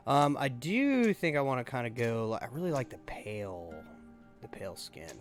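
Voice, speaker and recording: A man giving casual, halting gameplay commentary as a YouTuber, thinking aloud as he goes. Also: medium pitch, very animated, soft background music. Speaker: gaming YouTuber.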